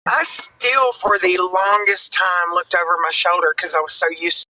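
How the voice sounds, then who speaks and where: A woman's voice: lowish voice, steady tone, moderate pace, dry recording. A woman chatting and joking as a guest on a casual livestream. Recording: bright voice, distant from mic.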